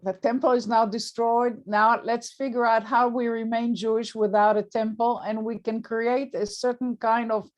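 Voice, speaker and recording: Slightly high-voiced woman, academic moderator. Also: little pitch movement, moderate pace, few pauses. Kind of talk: unscripted academic discussion.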